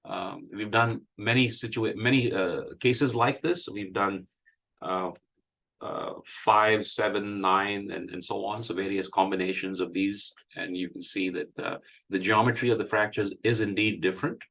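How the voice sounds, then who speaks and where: A man with a cold, hoarse, giving a halting technical presentation with many fillers. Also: on the low side, fairly even intonation, fairly bright tone. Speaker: engineering presenter. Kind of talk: technical presentation at an online meeting.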